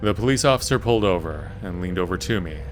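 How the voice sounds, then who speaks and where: A man's voice: deep voice, animated, fast talker, faint music behind. A man reading a scripted first-person scary story as a narrator.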